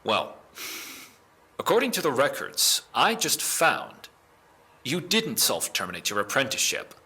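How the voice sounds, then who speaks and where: A man playing a firm, methodical police officer in an audio drama, speaking in a formal, deliberate, official manner. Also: mid-range voice, wildly swooping pitch, pushed and bright, heavily acted. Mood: probing.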